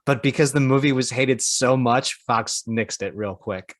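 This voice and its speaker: A man's voice: medium pitch, unhurried, no room sound, clean studio sound. A man co-hosting a podcast in a casual, conversational style. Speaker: podcast co-host. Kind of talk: casual chat.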